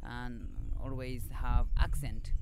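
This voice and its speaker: A man interviewing a visitor in halting, simple English with frequent fillers. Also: medium pitch, little pitch movement. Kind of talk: casual street interview. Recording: loud street noise behind.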